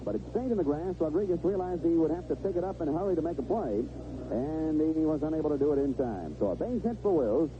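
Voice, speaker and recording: Male 1970s radio baseball play-by-play man, higher-pitched, clipped phrasing, dull noisy AM sound. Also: animated, fast talker. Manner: clipped phrases, describing each play as it happens. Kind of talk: live radio sports commentary. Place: stadium radio booth.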